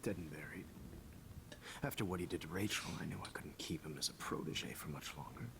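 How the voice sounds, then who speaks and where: An actor playing a smug, menacing teacher and photographer villain in a video game, with a calm, condescending, gloating delivery. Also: male voice, very deep voice, a little fast, gravelly, noisy background. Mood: cold, condescending. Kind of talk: dramatic video game acting.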